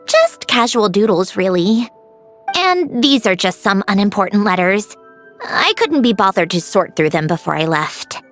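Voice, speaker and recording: A woman voicing a composed, proud young mechanical woman in an anime-style video game, with a measured, self-assured and lightly dismissive way of talking. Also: mid-range voice, sing-song, faint music behind.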